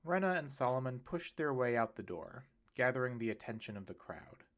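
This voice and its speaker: A man's voice: mid-range voice, a little fast. A man narrating a serialized novel aloud, lifting his voice for the characters' lines. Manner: lively reading with bright, raised voices for quoted characters. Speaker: audiobook narrator.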